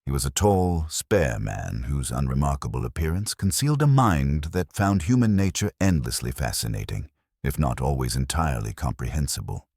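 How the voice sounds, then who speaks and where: A man reading a scripted period mystery story in a measured, wry and formal storytelling manner. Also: very deep voice, lively intonation, gravelly, darkish tone, slightly rough, no room sound, clean studio sound. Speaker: narrator.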